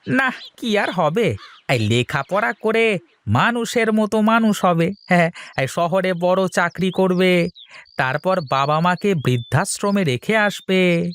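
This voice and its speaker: A man's voice: sits high, lively intonation, slow, fairly bright tone, full character voice. A man voicing a sly, bossy cartoon village schemer who talks in a mocking way. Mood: sarcastic.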